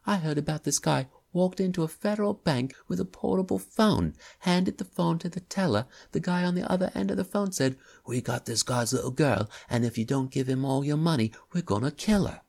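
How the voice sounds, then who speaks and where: A man voicing a heated young crook, talking fast and swearing. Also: on the high side, gravelly, a little mellow, pitched above the performer's own voice. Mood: ranting. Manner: fast, heated ranting, profane. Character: agitated young male English crook.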